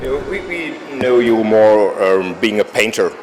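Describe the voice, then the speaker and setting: A man interviewing an artist, asking halting questions and murmuring agreement in between. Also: on the low side, expressive, over music, poor audio.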